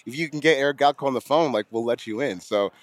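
A man co-hosting a podcast in casual chat. His voice is hoarse and gravelly, and he talks haltingly, repeating words and chuckling. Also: medium pitch, no room sound.

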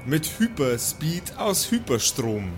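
A man's voice: on the high side, big pitch swings, slow pace. A man playing an over-enthusiastic male advertising announcer, speaking in emphatic, repetitive slogans over music. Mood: hyped, promotional. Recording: music behind the voice.

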